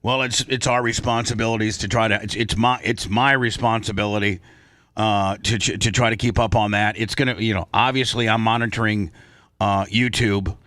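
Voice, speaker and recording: A man hosting a casual radio talk show, speaking in a halting, rambling way on a close microphone. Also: lowish voice, steady tone, a bit bright, dry recording. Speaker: host and boss of the show. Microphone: close studio microphone.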